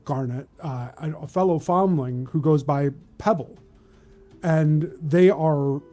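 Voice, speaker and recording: Male game master: unhurried tabletop narration, soft dark tone, slightly high pitch.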